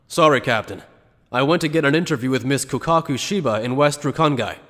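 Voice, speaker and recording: A man's voice: mid-range voice, brisk, a bit bright, lightly acted. A man playing an earnest, dutiful junior officer in an anime fan dub, polite and easily flustered. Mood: apologetic. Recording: long hall reverb.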